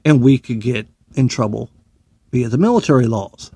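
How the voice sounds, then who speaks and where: A man's voice: medium pitch, normal speed, dark tone, slightly rough, dry recording. A man, a military veteran, delivering a profane, sarcastic and heated opinion monologue.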